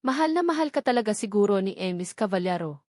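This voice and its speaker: A woman's voice: mid-range voice, expressive, fast talker, darkish tone, dry recording, studio quality, lightly acted. A woman with a Filipino accent voicing an envious, chatty makeup artist's assistant in an audiobook, gushing and confident. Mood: envious awe.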